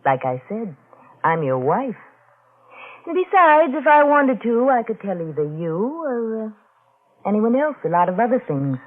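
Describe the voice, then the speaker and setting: Tinny old radio, a sly young wife, silky n pointed, voice goes up and down a lot. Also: female voice, fairly high pitch, sing-song, a little slow. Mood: sly, threatening. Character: cool, sly young wife, sure of herself, quietly threatening. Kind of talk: 1940s radio drama acting. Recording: thin old-time radio recording.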